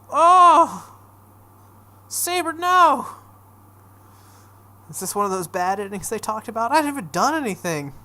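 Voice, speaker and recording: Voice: mid-range voice, sharp tone. A let's play host reading visual novel text aloud dramatically, breaking off into casual reactions.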